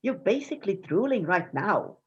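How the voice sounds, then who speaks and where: Woman playing a husky, impulsive farm boy. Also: medium pitch, sing-song, normal speed, dull audio. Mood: eager, cheeky. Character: cheeky, hapless farm boy, eager and impulsive. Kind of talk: amateur dramatic reading of a myth play.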